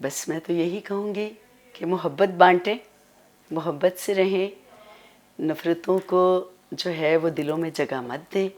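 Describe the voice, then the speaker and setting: Lower-voiced Pakistani woman chiming in. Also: a little slow, darkish tone. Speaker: talk show guest. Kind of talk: casual conversational talk.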